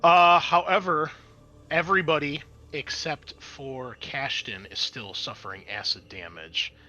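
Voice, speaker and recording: Man, game master, crisp bright tone, tabletop roleplay narration, dramatic then conversational. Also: a little high, a little slow, dull audio. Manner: dramatic scene-setting mixed with casual rules talk.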